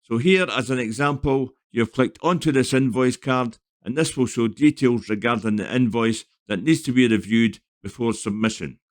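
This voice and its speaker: A man narrating a scripted software tutorial in an explanatory, step-by-step manner. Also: mid-range voice, moderate pace, few pauses.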